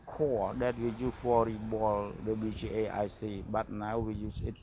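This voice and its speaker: A man with a non-native English accent narrating a hands-on repair tutorial as a technician, speaking in short, broken, halting phrases. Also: fairly low pitch, level tone, warm tone, slight background noise. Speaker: phone repair technician and instructor.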